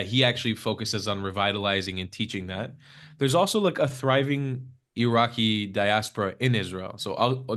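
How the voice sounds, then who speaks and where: Low-voiced young man, rambling with fillers. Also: a bit bright. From United States. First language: Hebrew. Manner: rambling, many fillers and restarts. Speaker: podcast guest. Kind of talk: casual conversational interview. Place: Israel.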